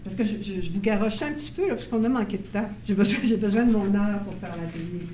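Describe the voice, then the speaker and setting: A woman presents to a room of parents, explaining step by step and often checking that they follow. Her voice is mellow and soft-edged. It carries the echo of a meeting room, picked up from a far mic with faint noise behind. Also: medium pitch, quick pace. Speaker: workshop presenter on child bilingualism. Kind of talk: live workshop talk to parents. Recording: roomy sound from a distant mic, faint noise.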